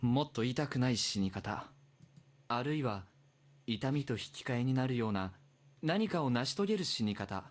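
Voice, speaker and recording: A man's voice: mid-range voice, lively intonation, fast, natural voice. An actor playing a grim but protective young male protagonist in a horror visual novel, speaking tersely and urgently. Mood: grimly resolved. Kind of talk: Japanese game voice acting. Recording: game audio under loud music.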